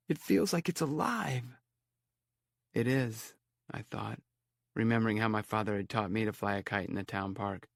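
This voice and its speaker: Low, creaky male audiobook narrator. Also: dry recording, studio quality. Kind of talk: scripted first-person story narration.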